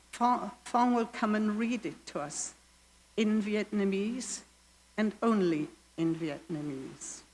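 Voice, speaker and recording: A woman's voice: medium pitch, darkish tone. Older Welsh woman in a church. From Wales. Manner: storytelling, unhurried with slow emphatic phrases. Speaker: guest worship leader. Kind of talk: reflective sermon talk. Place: church sanctuary. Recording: long church reverb, faint hiss.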